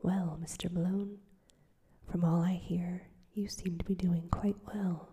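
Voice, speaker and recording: A gruff, kindly old newspaper editor murmurs his lines in a soft, airy whisper, as a woman reads him aloud. The voice is low-set, mellow and very breathy, with barely any tone behind it. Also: little pitch movement, measured pace.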